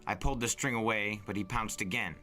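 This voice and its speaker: Bright, low-voiced male storyteller. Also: quick pace. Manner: expressive, suspenseful first-person reading. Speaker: narrator and reader. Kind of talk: dramatic audiobook-style storytelling. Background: music bed.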